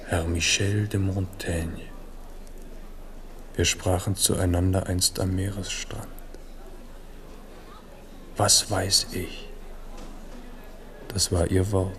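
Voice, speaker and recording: Deep, slow, reflective king. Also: a little hiss or hum. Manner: intimate, weighty, pausing monologue. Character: ageing, reflective King Henri of France. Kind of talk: 1970s German radio drama acting.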